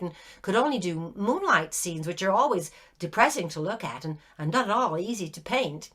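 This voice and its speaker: Prim little girl telling someone off, loud and brisk, lady reader doing her. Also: mid-range voice. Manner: prim and scolding. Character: earnest, indignant young girl.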